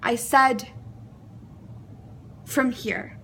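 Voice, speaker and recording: Young female monologist, high-pitched and unhurried, emotive, deliberate phrasing with pauses. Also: gravelly, sharp tone, a little hiss or hum. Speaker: monologue performer. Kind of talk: dramatic spoken monologue.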